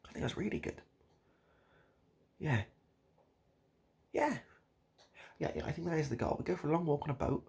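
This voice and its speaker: A man improvising a comic monologue straight to the listener, halting and repeating himself in a mock-earnest, absurd way. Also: a little high, vocal fry, a little mellow, husky. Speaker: online video host.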